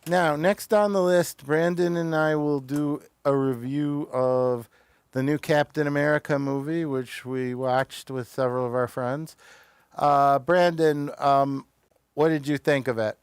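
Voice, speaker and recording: A man hosting a casual live show and narrating an audio play in a halting, informal way. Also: a little high, fairly even intonation, clean tone, dry recording.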